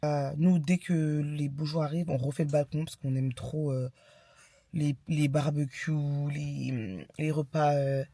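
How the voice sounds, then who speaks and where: A woman's voice: sits low, fairly even intonation, warm tone, dry recording. Young woman vlogger with a stammer. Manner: halting, repeats and restarts words. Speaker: lifestyle vlogger, mother of young children. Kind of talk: casual chat to camera.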